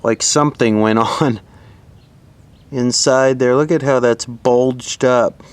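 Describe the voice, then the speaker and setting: A man giving casual hands-on repair commentary, thinking aloud in an offhand, low-key way as an electronics hobbyist. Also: fairly even intonation, takes it slowly, clean tone. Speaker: electronics repair hobbyist presenting his own video.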